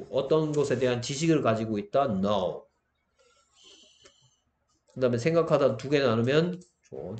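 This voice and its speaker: Male grammar teacher, mid-pitched, explanatory delivery with frequent check-in questions to students. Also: darkish tone.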